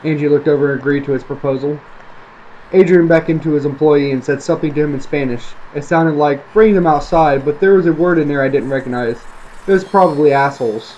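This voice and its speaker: A man's voice: on the high side, fast, a little mellow, dull audio. A man reading a creepy story aloud as scripted narration for online listeners. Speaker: storyteller, online video creator. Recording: faint background noise.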